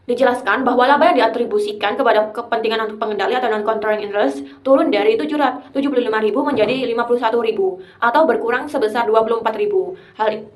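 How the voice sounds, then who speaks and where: Young female student presenter, quick delivery, explanatory and partly read, recorded with noticeable room echo. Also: on the high side. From Indonesia. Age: young adult. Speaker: university accounting student presenting. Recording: roomy echo.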